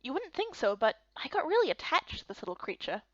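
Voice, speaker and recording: Woman doing a sweet curious young fantasy game girl, very lively, slightly muffled mic. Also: sits high, quick pace, dry recording. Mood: fond.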